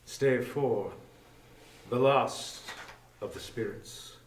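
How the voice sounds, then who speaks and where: A man narrating a literary story theatrically, with deliberate phrasing and weight on each listed word. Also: medium pitch. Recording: echoey room, distant from mic.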